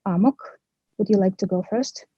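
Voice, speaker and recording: A woman's voice: mid-range voice, normal speed, dark tone, breathy edge, dry recording, lo-fi sound. A woman moderating a panel on a video call, carefully and formally reading out the speakers' introductions.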